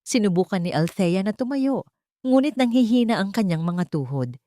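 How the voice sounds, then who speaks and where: A woman's voice: medium pitch, animated, moderate pace, warm tone, no room sound, studio quality. A woman reading a dramatic love story as a scripted narration.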